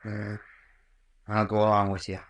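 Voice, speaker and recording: An actor playing a defiant, uneasy young sidekick with sarcastic, defensive retorts, in 1940s radio mystery style. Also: male voice, fairly low pitch, completely flat delivery, unhurried, lightly acted. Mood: defensive, nervous. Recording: echoey old-time radio recording.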